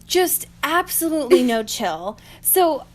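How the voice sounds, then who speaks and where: A woman's voice: high voice, sing-song, takes it slowly. A woman co-hosting a podcast in casual banter, giggly and sarcastic, given to exclaiming. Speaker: podcast co-host. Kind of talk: casual chat, book recap banter.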